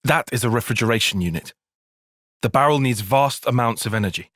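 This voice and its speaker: A man's voice: medium pitch, brisk, a bit bright, dry recording, clean studio sound, close to an everyday voice. A man with a British accent voicing an earnest, cautious young soldier-strategist in a video game, speaking in a precise, formal, articulate way. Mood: measured, analytical. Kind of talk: video game cutscene voice acting.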